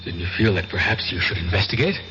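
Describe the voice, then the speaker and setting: An actor playing a skeptical, probing defense lawyer in a 1970s radio drama, with an incredulous manner. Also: male voice, low-pitched, lively intonation, fast talker, sharp tone. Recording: muffled, noisy 1970s radio recording.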